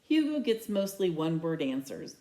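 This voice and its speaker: A woman's voice: on the low side, big pitch swings, moderate pace, mellow. A woman reading a storybook aloud as narration, telling the tale in the first person. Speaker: read-aloud reader.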